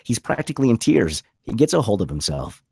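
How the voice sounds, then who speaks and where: Low, husky male screenplay narrator. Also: very animated, brisk, mellow, dry recording. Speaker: stage-direction narrator.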